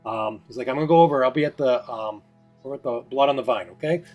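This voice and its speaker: A man's voice: mid-range voice, fast, darkish tone, soft background music, close to an everyday voice. A man voicing a tired, courteous male village leader in a tabletop game. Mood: weary, courteous.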